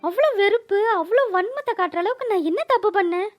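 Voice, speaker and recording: A woman voicing a gentle, earnest young daughter-in-law in a cartoon. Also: very high-pitched, lively intonation, brisk, soft background music. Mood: hurt, plaintive. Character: dutiful, soft-hearted young daughter-in-law. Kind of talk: cartoon voice-over dialogue.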